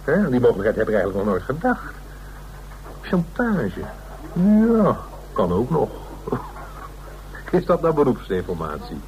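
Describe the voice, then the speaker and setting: A man's voice: medium pitch, huge pitch swings, unhurried, pauses often, lo-fi sound. A man playing a flustered, easily offended legal adviser in a radio play, hesitant and stammering. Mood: indignant, amused. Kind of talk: naturalistic Dutch radio play acting. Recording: radio play recording with faint noise.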